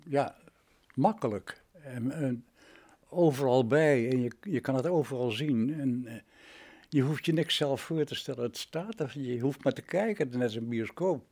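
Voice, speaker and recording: A man's voice: mid-range voice, normal speed, darkish tone. A man in his nineties from the Netherlands, reminiscing in an interview as a retired radio drama director, with vivid examples and rhetorical questions. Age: 90s.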